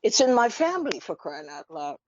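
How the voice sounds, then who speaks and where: A woman giving unscripted radio commentary in an emphatic, deliberate manner, with rhetorical questions and pauses. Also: medium pitch, very animated, darkish tone. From Trinidad. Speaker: radio talk show host. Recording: dull lo-fi radio audio.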